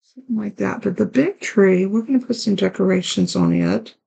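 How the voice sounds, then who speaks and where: A woman with a raspy, hoarse voice hosting a card-making tutorial, chatting along as she works through each step. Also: medium pitch, huge pitch swings, a little fast, mellow, highs cut off. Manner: chatty, talks while working with her hands. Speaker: card-making channel host.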